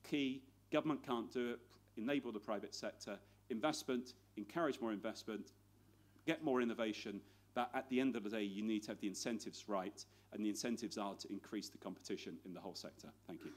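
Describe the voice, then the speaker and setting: A man's voice: fairly low pitch, brisk. A man closing his conference talk in clipped, emphatic points. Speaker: panel speaker. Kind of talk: conference presentation.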